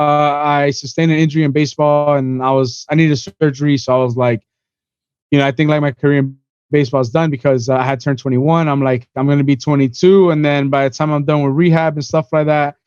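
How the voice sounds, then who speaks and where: A man's voice: fairly high pitch, a little mellow, clear, resonant tone, no echo, muffled recording. A man in his twenties from Miami, a podcast guest rambling through his story in casual chat, full of "you know" and "bro". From Miami, USA. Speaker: podcast guest, event organizer.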